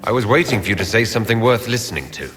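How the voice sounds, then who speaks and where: An actor playing a stern, curt and dismissive fantasy leader in a video game. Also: male voice, lowish voice, animated, very fast, big-room sound, background noise, natural voice.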